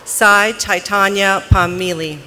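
An announcer reading formally at a graduation ceremony in a large arena, with measured, deliberate enunciation. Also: medium pitch, fairly even intonation, pushed and bright, faint music behind. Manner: measured, deliberate enunciation with pauses between names. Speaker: commencement name announcer. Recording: noisy arena PA with crowd behind. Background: crowd noise.

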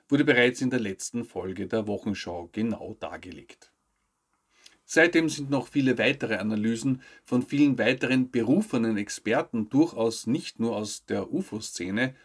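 A man, reading scripted news commentary to camera in a measured, formal way. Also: lowish voice. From Austria. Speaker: news show host. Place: indoor studio with plain backdrop.

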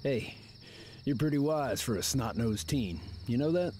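An actor playing a weary, easygoing older trucker who drawls and teases gently. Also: male voice, medium pitch, lively intonation, measured pace, slight background noise, lightly acted. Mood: teasing. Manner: drawling, laid-back, teasing.

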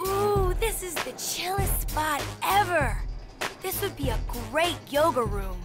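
A woman voicing a gentle, easygoing tween girl. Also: extremely high pitch, unhurried, over music. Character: calm, mellow tween girl, yoga-minded.

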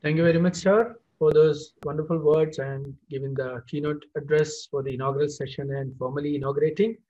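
Middle-aged man, webinar host, upbeat announcing, slightly high voice, muffled call audio. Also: steady tone, fairly quick, dark tone. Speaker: event host. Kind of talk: webinar moderating. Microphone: video call. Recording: dull video call audio.

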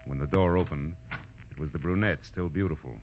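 A man's voice: very deep voice, brisk, background noise. An actor playing a wry, world-weary private detective who narrates his own case in 1940s radio drama style. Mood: wry narration. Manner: wry, sardonic. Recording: dull old-time radio recording.

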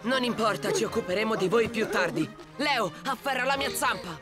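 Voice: mid-range voice, a little fast, loud music behind the voice, lightly acted. An actor voicing a brave, protective young male tiger cub, earnest and urgent, in a children's cartoon. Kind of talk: animated children's cartoon dubbing.

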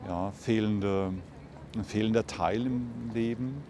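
Man in his 60s, lowish voice, hesitant fillers, outdoors, clear traffic noise behind. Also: steady tone, slow, dark tone. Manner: searching for words, many fillers. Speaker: passer-by interviewee. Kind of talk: street interview answer. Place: city park outdoors.

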